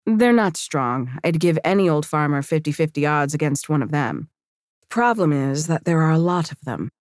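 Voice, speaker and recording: Seasoned female squad leader, low pitch, dry sound, brisk orders, matter-of-fact, fantasy audiobook. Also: lively intonation, a little mellow, dry recording. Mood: terse, tactical. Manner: commanding, matter-of-fact, curt. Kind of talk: audiobook character acting.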